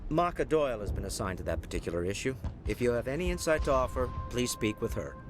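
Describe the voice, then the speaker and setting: A man's voice: medium pitch, animated, fast, music in the background, natural voice. A man playing the earnest leader of an embattled frontier colony in a video game, with a formal, courteous manner. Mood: weary, earnest. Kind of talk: video game dialogue acting.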